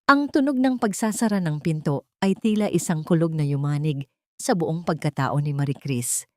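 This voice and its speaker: A woman's voice: fairly low pitch, a little mellow, no room sound, studio quality. A woman reading a scripted melodrama as a storyteller, in a solemn and emotive manner. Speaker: audio story narrator. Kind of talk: dramatic scripted narration of a Tagalog melodrama.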